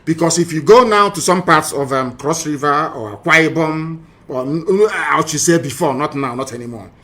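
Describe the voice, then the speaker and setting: Bright, emphatic Nigerian man, scornful orator. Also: fairly high pitch, moderate pace. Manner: emphatic, scornful, rhetorical questioning, repeats phrases for effect. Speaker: political broadcaster. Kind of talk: impassioned political monologue commenting on archive audio.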